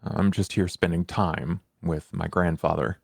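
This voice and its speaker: A man playing a tabletop game, casually roleplaying in a terse, deadpan manner. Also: sits low, unhurried, gravelly, slightly rough, no echo, studio quality.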